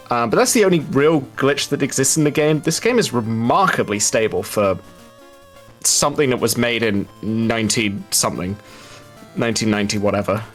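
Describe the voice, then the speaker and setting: A man giving casual, explanatory live speedrun commentary in a chatty, wry, informal way. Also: mid-range voice.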